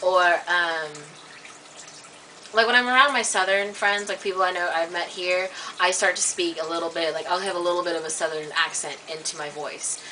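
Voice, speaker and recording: A woman vlogging in a casual, rambling monologue to camera, full of "like" and "um", getting animated and high when worked up. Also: normal speed. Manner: chatty, filler-heavy, many "like" and "um" restarts. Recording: distant webcam mic with faint hiss.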